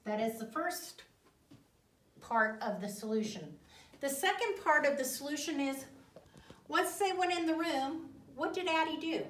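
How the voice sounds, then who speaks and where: Slow-speaking woman teaching, roomy distant sound. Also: high-pitched, expressive, fairly bright tone. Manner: didactic, deliberate, pausing and repeating. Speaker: elementary school teacher. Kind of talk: classroom reading lesson with questions to pupils. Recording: roomy echo, distant mic.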